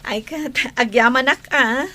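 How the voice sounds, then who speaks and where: A woman's voice: sits high, unhurried, sharp tone. A woman playing an assertive young daughter-in-law who defends her own ways in an Ilocano radio drama. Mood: friendly.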